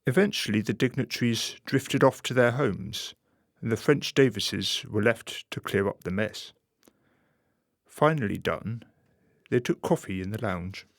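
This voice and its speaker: A male narrator tells a scripted story for a radio play. His voice has a gravelly creak and a wry, measured manner, recorded very dry. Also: on the low side, no echo.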